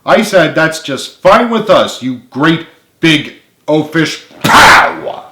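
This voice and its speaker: Man voicing a loud, scornful, defiant girl. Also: a little high, sing-song, noisy background, voice pushed up. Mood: angry, defiant. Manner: loud, drawn-out taunting. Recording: echoey room.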